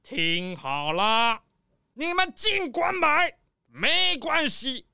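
An actor playing a pompous, boastful rich crocodile gentleman in a children's audio drama, with theatrical, drawn-out exclamations. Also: high-pitched, big pitch swings, vocal fry, dry recording, studio quality, heavily acted. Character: pompous, showy rich crocodile gentleman throwing his birthday banquet.

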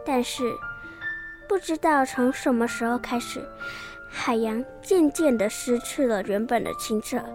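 A woman narrates a children's story in Taiwanese Mandarin. Her voice is high, and music plays clearly behind her. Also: unhurried.

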